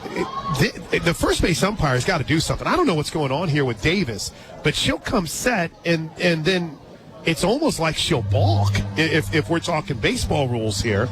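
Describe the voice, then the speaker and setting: A man's voice: on the high side, big pitch swings, normal speed. A man doing live radio play-by-play of a sports game, with conversational asides between calls. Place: outdoor ballpark radio booth. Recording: crowd and music behind the voice. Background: loud crowd, music.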